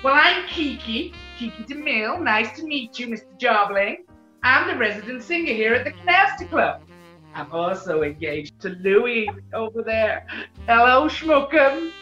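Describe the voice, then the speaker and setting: Gushing American club chanteuse, high and bright, cooing melodrama, 1940s radio comedy. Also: female voice, very animated, moderate pace, roomy sound, muffled recording, faint music behind. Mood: flirtatious.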